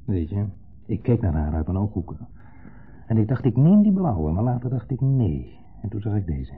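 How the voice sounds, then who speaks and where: A man's voice: fairly low pitch, sing-song, normal speed, husky, faint music behind, full character voice. An actor in a 1970s radio play voicing an insecure, needling man with a Netherlands Dutch accent, who speaks theatrically and dwells pointedly on his words. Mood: nervy, reminiscing. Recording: muffled, band-limited 1970s radio recording.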